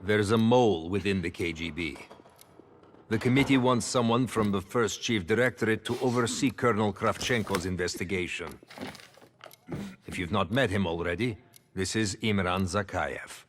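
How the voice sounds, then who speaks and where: An actor playing a stern, authoritative Soviet party official in a game cutscene, speaking formally and deliberately. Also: male voice, on the low side, a little hiss or hum, close to an everyday voice. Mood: formal, commanding. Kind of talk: dramatic video game cutscene acting.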